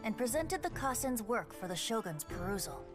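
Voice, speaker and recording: A woman's voice: a little high, big pitch swings, moderate pace, music in the background. A woman voicing a breezy, evasive young male bard in an anime game, with a light boyish voice and flowery phrasing. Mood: storytelling. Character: breezy youthful male bard, playful, evasive, tipsy-loving.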